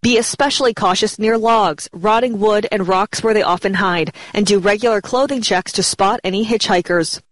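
A woman reads a short scripted health segment in an even, informative voice. The recording is dry and close, like a studio voice-over. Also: mid-range voice, normal speed, hardly any pauses, no room sound. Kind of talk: scripted news narration.

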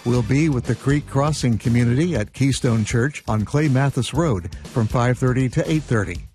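A man reads out community event listings in a clear, even, scripted radio style. His voice sits at a medium pitch, and faint music plays underneath. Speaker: community calendar announcer. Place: radio studio.

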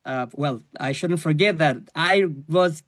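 A man from Afghanistan who is a charity staff member and interview guest, telling his story in an earnest, measured way with many fillers. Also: fairly high pitch, no echo, studio quality.

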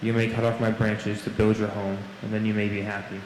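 A man voicing a gentle, self-sacrificing old apple tree from a storybook, softly and apologetically. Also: on the low side, completely flat delivery, fairly quick, lightly acted. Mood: gentle, giving. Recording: echoey hall, long reverb, faint noise.

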